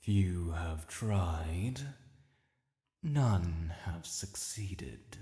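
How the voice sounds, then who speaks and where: A man's voice: low voice, animated, dark tone, exaggerated voice. Man voicing an ancient British dragon lord, cavernous reverb. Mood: grave, stately. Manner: formal, proud, measured and imperious. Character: ancient proud honorable male dragon lord, stern guardian. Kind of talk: fantasy audio roleplay addressed to the listener. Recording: roomy with long cavernous reverb.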